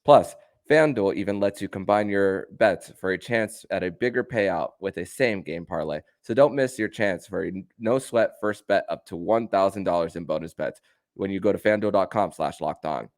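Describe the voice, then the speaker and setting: A man's voice: deep voice, moderate pace, no echo, clean studio sound. A man speaking as the host of a sports podcast. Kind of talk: podcast interview.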